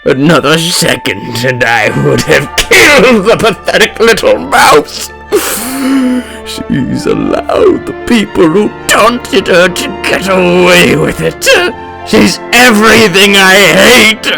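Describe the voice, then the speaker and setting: A menacing, vengeful villain from a comic dub, with a gravelly voice that swings widely in pitch. The delivery is theatrical and deliberate, like someone savoring fear, over a prominent orchestral score. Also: male voice, wildly swooping pitch, sharp tone, faint music behind, exaggerated voice. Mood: manic, agitated. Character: bitter, menacing male supervillain, obsessed with fear and revenge. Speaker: voice actor. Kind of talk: dramatic comic dub narration and acting.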